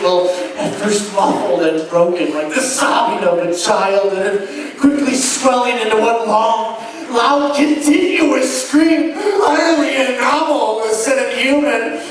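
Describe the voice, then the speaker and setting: A man performing a theatrical Gothic horror monologue as a crazed, gloating murderer confessing his crime, halting and melodramatic. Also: high-pitched, a little hiss or hum. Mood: dread, then shrieking horror. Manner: halting, repeating words, melodramatic. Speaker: stage actor performing a dramatic reading. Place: live show venue at an amusement park. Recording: echoey venue, recorded from far off.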